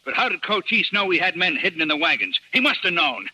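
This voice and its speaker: An actor playing an agitated, accusing wagon driver with a raspy voice in a radio Western. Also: male voice, fairly high pitch, very animated, fast talker, pushed and bright, heavily acted, poor audio. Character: angry, accusing frontier wagon driver out for a lynching. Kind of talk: 1950s radio drama acting.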